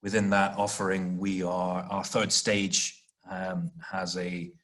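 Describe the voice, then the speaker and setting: A man answering as an executive guest in an interview, explaining off the cuff with halting, repeated words as he searches for phrasing. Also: low-pitched, little pitch movement, a bit bright. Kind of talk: panel interview, extemporaneous explanation.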